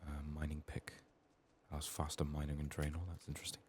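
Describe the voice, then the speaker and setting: Man, bass-deep voice, soft and hushed, ASMR game playthrough, low-key self-talk, slight noise behind. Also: completely flat delivery, quick pace. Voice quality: soft, hushed, breathy in whispers. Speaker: gaming streamer.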